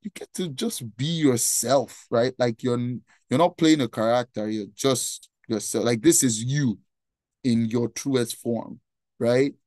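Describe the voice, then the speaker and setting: A young man speaks with a clear Nigerian accent in a medium register, earnest and talkative, checking in with "right?" again and again. His voice sounds dry and close, with almost no room around it. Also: medium pitch, takes it slowly, darkish tone, no room sound. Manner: earnest, repeats "right?" often. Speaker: guest, nonprofit founder. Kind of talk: conversational interview answer.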